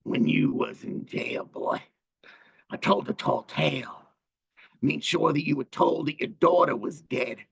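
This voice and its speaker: A man singing the part of a hard, scheming old grandfather in a low, muttered voice. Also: a little slow, creaky, breathy edge, full character voice. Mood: cold.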